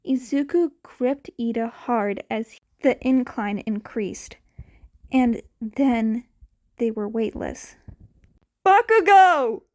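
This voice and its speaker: A woman reading fan fiction aloud as a scripted narration, deliberate, with the odd small stumble over a word. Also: on the high side, mellow. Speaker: podfic narrator.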